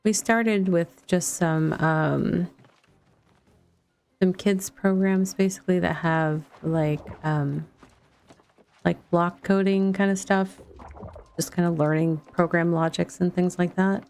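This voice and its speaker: A woman's voice: fairly low pitch, fairly even intonation, slow, creaky, darkish tone. A middle-aged woman chatting casually as she streams a video game, rambling a little and thinking aloud. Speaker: livestreamer playing a video game. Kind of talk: casual chat over gameplay.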